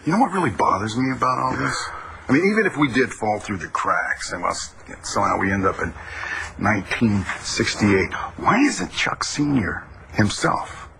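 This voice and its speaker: An actor playing a gruff, bewildered middle-aged rancher. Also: male voice, lowish voice, big pitch swings, moderate pace, very noisy. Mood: puzzled.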